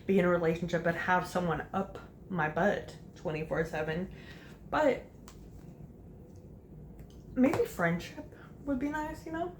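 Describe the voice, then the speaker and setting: A woman's voice: fairly low pitch, expressive, a little slow, pauses often, echoey room, noisy background. A woman in her late twenties rambling casually to the camera while doing her makeup, chatty and laughing often. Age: late 20s. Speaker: vlogger. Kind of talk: casual rambling get-ready-with-me chat.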